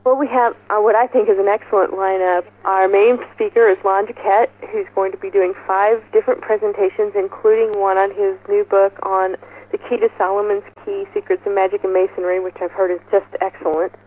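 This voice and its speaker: A woman's voice: medium pitch, flat delivery, brisk. A woman speaking as an event organizer in an informal interview, explaining details in an upbeat, enthusiastic way over a muffled telephone line. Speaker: festival organizer being interviewed.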